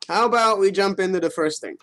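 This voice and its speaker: A man's voice: high voice, fast talker, fairly bright tone. A man co-hosting a casual gaming show, rambling loosely with crude, teasing swearing. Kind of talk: casual chat.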